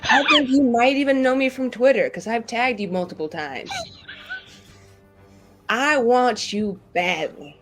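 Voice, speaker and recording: A woman's voice: a little high, unhurried, long pauses, a bit bright, faint music behind. A woman talking casually and playfully as a player on a tabletop roleplay show, with a theatrical, wordy, mock-pleading manner. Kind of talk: casual, playful group chat.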